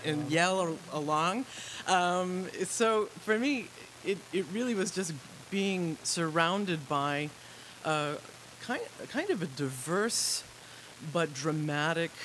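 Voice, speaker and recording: A woman from Chicago, an opera soprano, telling her story in a radio interview with a reflective manner and many pauses. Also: deep voice, a bit bright, rough recording. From Chicago, USA. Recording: faint tape hiss.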